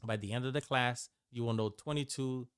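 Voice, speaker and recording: A Brazilian-born man whose first language is Portuguese, explaining a Bible course in a loose, halting way full of restarts and fillers. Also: mid-range voice, steady tone, clean tone, no echo, clean studio sound. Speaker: Bible and Hebrew teacher. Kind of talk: informal course overview.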